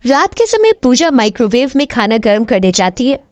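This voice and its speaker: A woman's voice: a little high, big pitch swings, moderate pace, no room sound. A woman giving scripted narration for a horror cartoon story.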